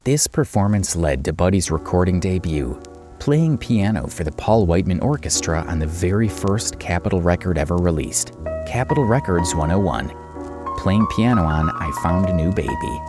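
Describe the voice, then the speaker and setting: A man's voice: low-pitched, animated, moderate pace. A man reading scripted documentary narration. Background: music.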